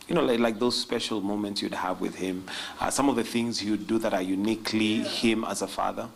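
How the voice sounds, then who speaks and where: A low-voiced man with a gravelly, creaky edge asks relaxed interview questions. He drifts through his questions in an easygoing way and prompts often with fillers. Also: brisk, a little hiss or hum, poor audio.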